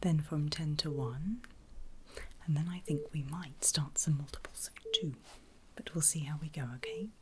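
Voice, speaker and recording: A woman whispering in a breathy, soothing and reassuring way, as a caregiver in an ASMR medical roleplay. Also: low-pitched, big pitch swings, a little hiss or hum. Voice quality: breathy, mostly unvoiced whisper. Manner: soothing, reassuring, slow and deliberate. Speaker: ASMR performer playing a surgical nurse.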